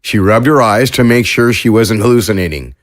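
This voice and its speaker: A man narrating a science fiction story in a measured, even storytelling manner. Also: fairly low pitch. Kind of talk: scripted audiobook narration.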